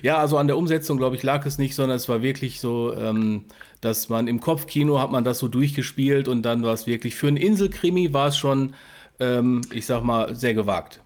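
A man's voice: mid-range voice, moderate pace, a little mellow. A man hosting a casual interview, feeling his way through his sentences with self-corrections. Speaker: podcast host and interviewer.

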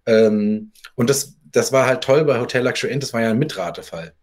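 Male interview guest, author type, medium pitch, conversational anecdote-telling. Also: a little fast.

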